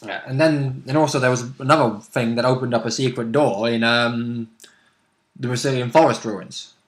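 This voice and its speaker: A man's voice: mid-range voice, fairly even intonation, moderate pace. A man chatting casually as a player about a tabletop role-playing game, blunt, opinionated and casually sweary.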